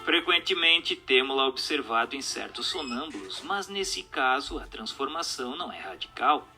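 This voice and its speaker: Brazilian man narrating, bright forceful voice. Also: fairly high pitch. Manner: formal, didactic, measured phrasing. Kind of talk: scripted narration, reading a doctrinal book. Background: music.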